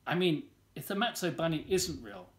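Older man reading a storybook, animated pitch. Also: normal speed. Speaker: volunteer story reader. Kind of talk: reading a children's storybook aloud. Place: small living room at home, indoors.

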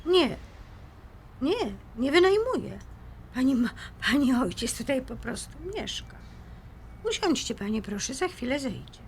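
A woman's voice: high voice, sing-song, measured pace, slight background noise, full character voice. An actress playing a proud, composed woman defending her home in an audio drama, formal, firm and icily polite. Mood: polite, guarded.

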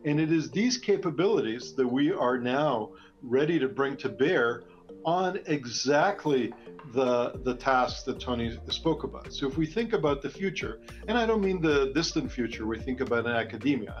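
A man's voice: medium pitch, lively intonation, normal speed, lo-fi sound, faint music behind. An older man giving a conference presentation as a researcher over a video call from an office. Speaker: researcher, keynote speaker.

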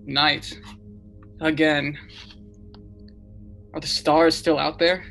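The last man on earth, acted by a man, broods wearily in a radio drama. The delivery is slow and halting, full of despair, with faint music. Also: fairly high pitch, flat delivery, gravelly, fairly bright tone, exaggerated voice. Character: despairing, weary last man, a builder turned scientist.

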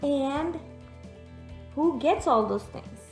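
High-voiced young woman reading to children. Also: drawn-out words. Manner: expressive, teacherly, addressing children directly. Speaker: children's storyteller. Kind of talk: read-aloud for young children, with questions to the listeners. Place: room at home, indoors. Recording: echoey room, music in the background.

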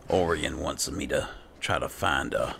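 Uomo dalla voce profondissima e molto roca. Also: male voice, extremely low pitch, unhurried, vocal fry, noisy background. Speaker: gaming channel host role-playing as an alchemist. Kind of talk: slow, musing in-character game roleplay commentary. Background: game music.

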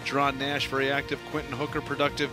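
A middle-aged man giving relaxed, conversational post-game analysis over a headset mic at an arena desk. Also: medium pitch, fast talker, over music. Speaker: color analyst.

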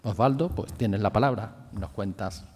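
A man's voice: fairly low pitch, moderate pace, background noise. Man introducing a speaker in a hall. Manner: reads a speaker's bio with asides. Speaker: presenter of the speaker. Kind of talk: formal introduction. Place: lecture hall with audience. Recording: hall reverb from a lecture room.

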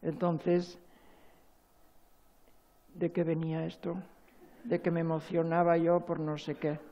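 Older man with a high voice, rambling. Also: completely flat delivery, lo-fi sound. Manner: rambling, emotional, halting restarts. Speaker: speaker at a spirituality forum. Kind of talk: personal spiritual talk. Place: large stage, seated on a chair.

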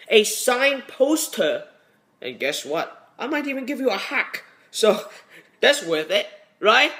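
A man's voice: extremely high pitch, sharp tone. A young man vlogging to a handheld camera, talking in an exaggerated put-on comic accent with a brash, joking manner. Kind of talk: comedic vlog talk to camera. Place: indoors, small room then convention hall. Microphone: phone or webcam at arm's length.

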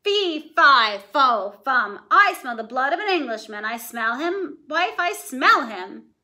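A woman voicing a huge, greedy male ogre who booms his lines in a shouted chant. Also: very animated, measured pace, exaggerated voice. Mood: booming.

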